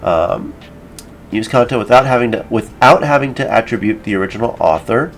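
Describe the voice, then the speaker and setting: A man hosting a casual software tutorial, explaining each step in an informal way. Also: mid-range voice, lively intonation, brisk, a little hiss or hum. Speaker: tutorial host. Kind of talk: casual screen-recorded software tutorial narration.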